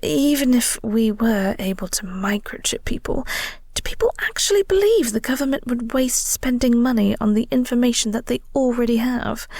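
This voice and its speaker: A woman playing a flirty, teasing vampire office co-worker in an intimate audio roleplay, speaking softly and breathily with a coy, unhurried manner. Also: on the high side, expressive, no room sound, clean studio sound. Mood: amused disbelief. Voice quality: soft, breathy, whispery. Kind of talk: intimate audio roleplay, whispered ASMR-like acting.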